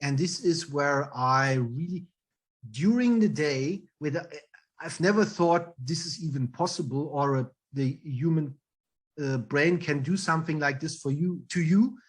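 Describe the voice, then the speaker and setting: A man's voice: fairly high pitch, slightly rough. A middle-aged man with a thick German accent, a German native speaker telling his career story in an interview, acting out the dialogue with frequent ums and restarts. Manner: lively, quick storyteller who acts out quoted dialogue, with frequent ums and restarts. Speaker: guest, trademark attorney.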